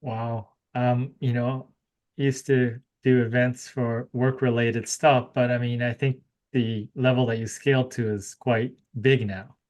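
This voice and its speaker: A man hosting a podcast interview, asking relaxed questions with a lot of fillers. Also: mid-range voice, unhurried. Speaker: podcast host. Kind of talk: casual interview.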